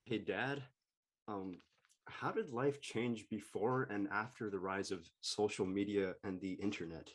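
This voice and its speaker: Here is a man playing a teenage son in a radio play. His voice sits fairly low. He comes across as exasperated and snarky, like a kid nagging his family for homework help. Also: unhurried. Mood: earnest.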